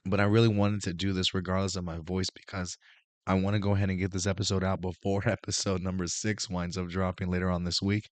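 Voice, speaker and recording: A hoarse man hosting a casual show review, rambling with many restarts while recovering his voice. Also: deep voice, little pitch movement, fast, dry recording. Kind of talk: casual review talk to camera.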